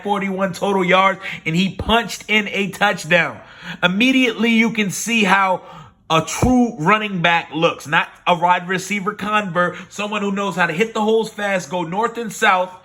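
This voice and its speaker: A hoarse man ranting casually and with lots of swearing in a sports fan video recap. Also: sits high. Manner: animated, profane, opinionated. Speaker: sports vlogger.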